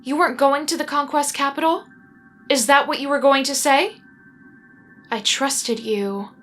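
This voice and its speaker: A woman's voice: high voice, measured pace, fairly bright tone, voice pushed up. Cold female commander for audio drama, played by a woman narrator: controlled, mocking, threatening, over a music bed. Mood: mocking, bitter. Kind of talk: audio drama character dialogue in a narrated serial.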